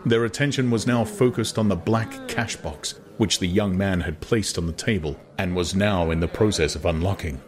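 Man, lowish voice, audiobook narrator, scripted literary narration, dramatized reading. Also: moderate pace, few pauses, crowd noise.

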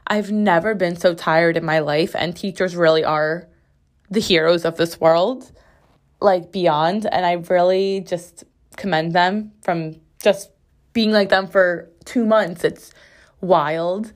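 A young woman hosting a casual solo podcast from her bathroom, her voice slightly raspy as it recovers from being lost, chatting in a rambling way full of "like"s. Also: on the low side, fairly even intonation, takes it slowly. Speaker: podcast host. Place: home bathroom.

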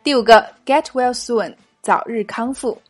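A woman's voice: fairly high pitch, unhurried. Upbeat woman hosting a language lesson. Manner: clear, upbeat teaching delivery, repeating key phrases. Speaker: English teacher and show host. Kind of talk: scripted lesson narration. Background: faint background music.

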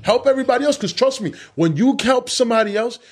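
A man's voice: extremely high pitch, animated, fast talker. A man in his thirties hosting a podcast, talking in loose, swearing street slang with many restarts. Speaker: podcast host. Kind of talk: casual chat.

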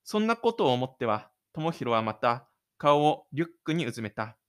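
A man's voice: medium pitch, sing-song, moderate pace, clean tone. A man reading scripted literary narration for an audio drama.

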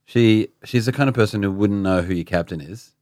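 A man's voice: on the low side, a little fast, a little mellow, dry recording, clean studio sound. A man with an Australian accent co-hosting a podcast in casual chat, joking and self-mocking. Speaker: podcast co-host.

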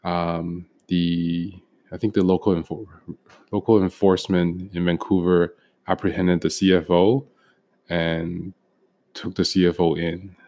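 A man's voice: low-pitched, flat delivery, measured pace, darkish tone. A young man co-hosting a casual podcast, talking with frequent ums and restarts. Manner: hesitant, many ums and restarts. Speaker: podcast co-host.

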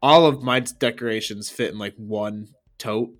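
Easygoing young man with a deep voice. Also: normal speed. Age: young adult. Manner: easygoing, laughs a lot, rambling with fillers. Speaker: podcast co-host. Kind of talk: casual chat.

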